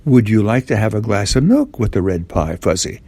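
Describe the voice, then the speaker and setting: A man's voice: fairly low pitch, very animated, fairly quick, warm tone, dry recording. An older man voicing a kindly, hospitable girl in a children's bedtime story, with a welcoming, generous manner. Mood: kindly offering.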